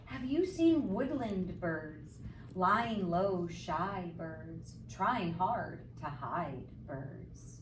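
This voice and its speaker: A woman reading a picture book aloud to young children like a teacher, with expressive, sing-song, emphatic phrasing and simple explanatory asides. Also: lowish voice, drawn-out words. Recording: roomy, distant mic, faint background noise.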